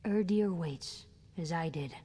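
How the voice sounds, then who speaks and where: A gentle, ancient spirit of the green, very breathy and slow. She muses in halting, poetic phrases, dark and soft in tone, as if dreaming aloud in a long reverb. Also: on the low side. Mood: reverent. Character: gentle female nature spirit, ancient, musing, otherworldly. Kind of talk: video game character acting.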